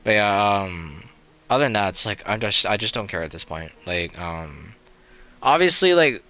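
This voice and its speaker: A man's voice: lowish voice, lively intonation, normal speed, sharp tone. A young man streaming a game live, chatting casually in a rambling, resigned way, full of "like" and "you know" and restarted sentences.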